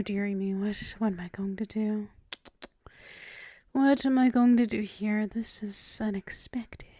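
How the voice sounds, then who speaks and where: A woman playing a seductive, dominant female vampire mistress in an ASMR roleplay, mostly in a breathy, purring whisper, teasing and possessive. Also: on the high side, mellow, no room sound, clean studio sound. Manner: slow, purring, lingering with hums.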